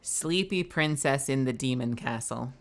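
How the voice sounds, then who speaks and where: A woman voice actor streaming games, chatting casually and telling a story with her low voice, swearing freely. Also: measured pace, faint music behind. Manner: swearing, storytelling, loud bursts of disbelief. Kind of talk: casual gaming stream chat.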